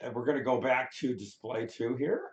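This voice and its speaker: A hoarse man talking through news clips with a co-host, animated and given to swearing and laughing. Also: medium pitch, a little fast. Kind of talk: casual chat about news clips. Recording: roomy echo.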